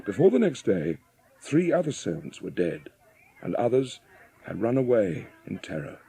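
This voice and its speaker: Low, creaky, mellow male storyteller. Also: very animated, normal speed. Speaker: audiobook narrator. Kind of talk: scripted dramatic storytelling narration. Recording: music behind the narration.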